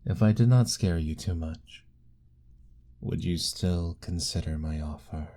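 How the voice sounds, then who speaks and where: A man doing a deep, courtly vampire lord. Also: unhurried. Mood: breathless, doting. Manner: formal, old-fashioned, gently pleading; soft, intimate, often whispered. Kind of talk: ASMR roleplay audio.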